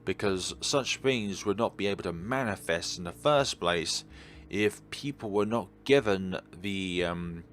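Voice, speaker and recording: Low-voiced man musing over a game. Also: unhurried, slight background noise. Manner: rambling, earnest philosophical musing. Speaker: video game let's player. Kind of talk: casual commentary while playing a visual novel.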